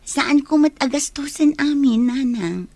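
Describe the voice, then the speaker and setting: A woman's voice: sits high, animated, measured pace, a little mellow. A woman playing a dutiful, outspoken young daughter who works as a maid, speaking candidly in an audio drama. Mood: caring, earnest. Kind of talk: Ilocano radio-style drama.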